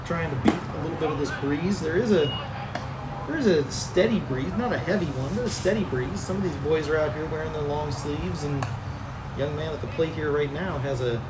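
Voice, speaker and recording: A man with a high, nasal voice calls a baseball game in an easygoing, chatty way. He sits a bit back from the mic, and a loud wash of noise runs under everything he says. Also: a little fast. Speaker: play-by-play announcer. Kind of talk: baseball commentary. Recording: outdoor booth with loud noise, slightly distant mic.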